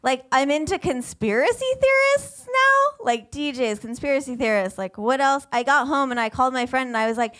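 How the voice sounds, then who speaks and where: A woman's voice: high-pitched, animated, moderate pace, few pauses, a bit bright. A young woman from Vermont performing a stand-up comedy set on a theater stage, self-mocking and acting out the people she quotes. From Vermont, USA.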